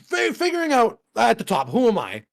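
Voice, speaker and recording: A man's voice: very high voice, huge pitch swings, fairly quick, gravelly. A man in his thirties co-hosting a podcast in casual chat, rambling and profane, often laughing at himself. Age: 30s. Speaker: podcast co-host.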